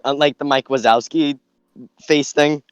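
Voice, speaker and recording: A man chatting casually and jokingly on a podcast, halting and repeating his phrases. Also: a little high, fairly even intonation.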